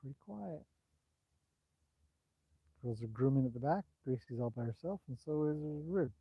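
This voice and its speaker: A man's voice: mid-range voice, animated, normal speed, vocal fry, husky, dry recording, highs cut off. Chatty man livestreaming horses outdoors. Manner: chatty, rambling, answers viewer comments. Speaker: horse trainer hosting a livestream. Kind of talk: casual livestream commentary. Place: outdoors by a horse paddock on a bright day. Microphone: cell phone.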